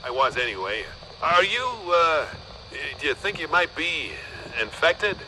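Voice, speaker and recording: An actor playing an anxious, eager government doctor in a video game, urgent and halting. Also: male voice, fairly high pitch, sing-song, background noise. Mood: nervous, hopeful. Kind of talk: video game cutscene acting.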